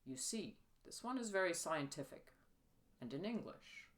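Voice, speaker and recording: An earnest, worldly Regency gentleman, read by a woman. He is coaxing and explanatory, eager to instruct, as a character in a literary audiobook. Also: on the low side, lively intonation, normal speed, voice pushed up, lightly acted.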